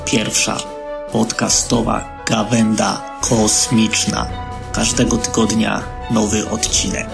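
A man's voice: fairly low pitch, flat delivery, slow, gravelly, exaggerated voice. A man playing a dramatic announcer for a space adventure audio serial, with music behind. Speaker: trailer announcer. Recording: loud music over the voice.

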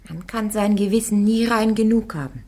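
An actress playing a devout young woman warrior on trial, answering her judges firmly and calmly in a radio drama. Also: mid-range voice, normal speed, close to an everyday voice. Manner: firm, calm, defiant answers.

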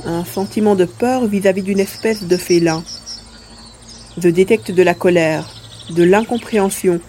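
A woman playing a small, plump, furry male mercenary creature who explains things in a measured way in a science fiction audio drama. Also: lowish voice, warm tone, a little hiss or hum, natural voice. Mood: concentrated.

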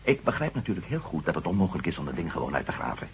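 An actor playing a headstrong, indignant reporter and former astronaut in a radio drama, emphatic and sardonic, with a husky voice. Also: male voice, sits low, big pitch swings, racing, a little hiss or hum. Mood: grave, insistent. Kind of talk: Dutch radio drama acting. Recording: dull, lo-fi old radio recording.

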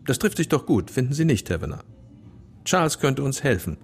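An older man playing a calm, persuasive police chief and father in a radio play, speaking with authority. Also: mid-range voice, brisk.

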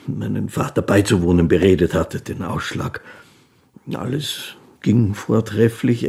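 An actor playing an old, impoverished violinist in a radio play, a gentle, humble man telling his life in halting, wistful, reflective phrases. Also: lowish voice, exaggerated voice. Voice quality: husky, gravelly. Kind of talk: dramatic first-person narration in a radio play.

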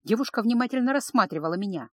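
A woman's voice: on the high side, expressive, rapid-fire, a little mellow, dry recording, studio quality. A woman reading a dramatic first-person story as scripted audiobook narration.

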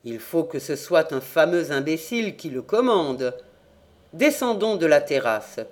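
A reader voicing an old king who is irritable and quick to anger, speaking in a gruff, blustering way. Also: mid-range voice, expressive, close to an everyday voice. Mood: indignant.

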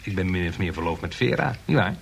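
A man's voice: deep voice, animated, fast talker, vocal fry. A man playing a nervous but cheeky grown son, a municipal clerk, with wry wording in a Dutch radio play. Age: adult. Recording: noisy old radio play recording.

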